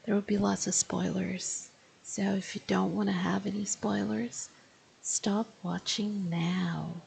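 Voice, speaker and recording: Gravelly-voiced woman in her fifties. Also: medium pitch, steady tone, darkish tone, a little hiss or hum, rough recording. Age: 50s. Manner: deliberate, explanatory, slowing to stress key phrases. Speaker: English teacher reviewing a TV show. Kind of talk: spoken video review.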